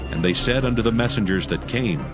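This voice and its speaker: A man narrating a dramatized scripture audiobook in a solemn, measured storytelling manner. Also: lowish voice, lightly acted. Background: background music.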